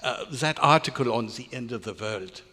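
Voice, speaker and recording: An actor playing a grumbling old research scientist with odd foreign-style phrasing in a live recreation of an old radio drama. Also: male voice, medium pitch, expressive, moderate pace, pushed and bright. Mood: grave lecturing. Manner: grumbling, exasperated, inverted foreign-sounding phrasing. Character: cantankerous old male research scientist, grumbling yet fond. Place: large hotel meeting room at a convention. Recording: echoey hall, live stage performance.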